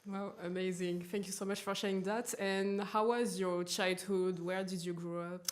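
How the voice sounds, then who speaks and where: A woman's voice: medium pitch, steady tone, normal speed. A woman hosting a podcast, asking questions and giving friendly, encouraging prompts. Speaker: podcast host. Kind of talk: interview hosting.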